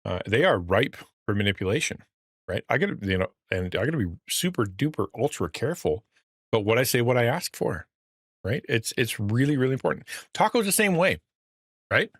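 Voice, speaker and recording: A man's voice: mid-range voice, big pitch swings, fairly quick, breathy edge, no room sound, clean studio sound. A middle-aged man, a pastor, talking earnestly and rambling on a livestream with a fatherly voice. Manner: earnest, rambling with restarts, reads chat aloud. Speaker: pastor and streamer. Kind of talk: casual livestream talk.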